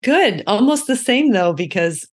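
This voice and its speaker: A woman's voice: mid-range voice, big pitch swings, unhurried. A woman co-hosting a podcast in a casual, conversational way. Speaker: podcast co-host. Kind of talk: casual chat.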